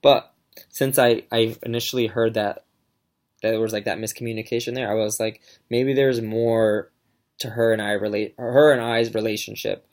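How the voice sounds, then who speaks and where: A man's voice: lowish voice, fairly even intonation, moderate pace, a little mellow. A young man giving a casual class presentation, talking in a hesitant way with many fillers and restarts. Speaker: student presenter.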